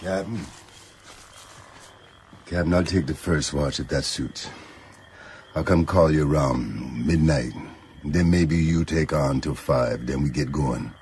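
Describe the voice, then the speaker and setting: A man's voice: extremely low pitch, slow, darkish tone, slight background noise. An actor playing a loyal, wary Jamaican boatman and guide in a radio drama, plain-spoken and deferential, with a Jamaican accent. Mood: calm, practical.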